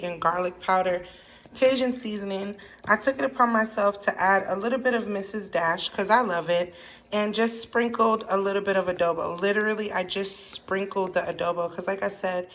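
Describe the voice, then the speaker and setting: A woman hosting a casual home cooking video, thinking aloud while she cooks one-handed in her kitchen. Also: medium pitch. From Haitian family background. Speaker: home cook showing a recipe. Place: home kitchen with running tap. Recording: background noise.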